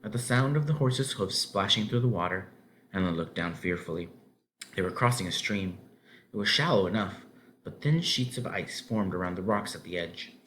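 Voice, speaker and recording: A man narrating a children's novel aloud in an expressive storybook style. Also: low voice, normal speed, slight background noise.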